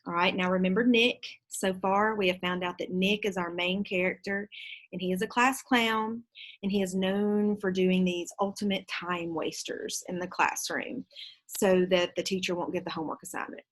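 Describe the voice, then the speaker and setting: A woman teacher, reading a children's book aloud and explaining it to her pupils with reminders. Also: on the low side.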